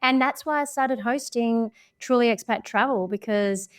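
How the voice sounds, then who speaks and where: An Australian woman telling travel stories as a podcast guest, chatty and quick to laugh. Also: fairly high pitch, clear, resonant tone. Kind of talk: casual storytelling chat.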